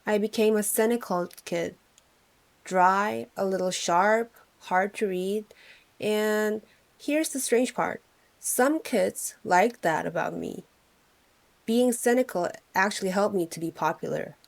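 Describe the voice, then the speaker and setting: A young woman from Korea tells her story to camera in native English. Her tone is wry and reflective, measured rather than showy, with a mid-range voice that sits neither high nor low. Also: gravelly. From South Korea. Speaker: English teacher and channel host.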